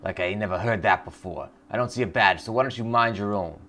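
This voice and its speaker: A man's voice: fairly low pitch, fast, pushed and bright. A man voicing a blunt, threatening male street thug, an impatient bully, in video game dialogue read aloud. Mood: hostile.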